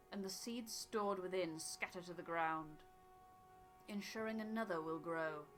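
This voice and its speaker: A woman voicing a scholarly, aloof male botanist from a video game, with precise, formal, measured phrasing. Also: mid-range voice, a bit bright, natural voice, rough recording. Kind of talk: voiced reading of video game dialogue.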